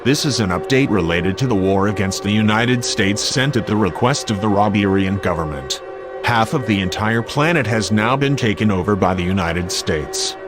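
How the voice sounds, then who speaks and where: A man's voice: on the low side, animated, normal speed, over music, natural voice. A man's synthetic-sounding voice delivering a scripted war update as a grave, formal, impersonal government announcer. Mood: grave, official. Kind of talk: scripted emergency alert announcement.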